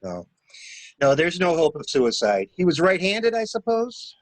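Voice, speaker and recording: A man's voice: on the high side, big pitch swings, a little slow, no echo. A man playing a cool, insistent stranger in an amateur dramatic reading of a murder mystery. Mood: matter-of-fact. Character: cool, insistent male stranger scheming to shield a suspect. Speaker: library staff reader.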